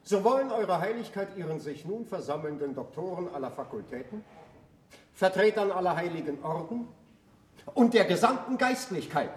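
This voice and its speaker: An actor playing a zealous, indignant churchman in a radio play, arguing insistently in an oratorical, emphatic manner. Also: high-pitched. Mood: pleading indignation. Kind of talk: German theatrical radio play acting. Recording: roomy, slightly noisy radio play recording.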